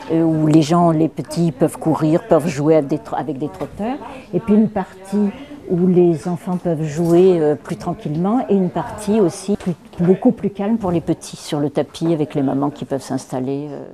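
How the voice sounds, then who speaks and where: A woman's voice: sits low, moderate pace, dark tone. A woman giving explanatory answers in an interview as a worker at a children's service.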